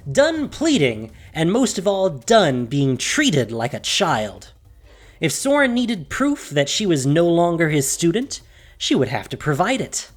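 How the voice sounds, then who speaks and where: A woman narrator reading a scripted fantasy story in an intense, emphatic, theatrical style. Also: on the low side.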